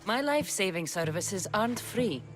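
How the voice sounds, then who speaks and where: A woman's voice: mid-range voice, lively intonation, moderate pace, over music, close to an everyday voice. An actress playing a tough, terse female mercenary of ancient Greece in a video game, with dry sarcasm. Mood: wry.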